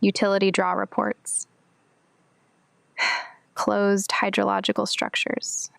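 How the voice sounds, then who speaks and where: A woman's voice: mid-range voice, monotone, takes it slowly, vocal fry, a bit bright, no echo, heavily acted. An actress playing a laconic young woman hacker who speaks in terse, cryptic statements. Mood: deadpan. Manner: terse, cryptic, matter-of-fact. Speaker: hacker in a group of conspiracy theorists. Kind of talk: audio drama acting.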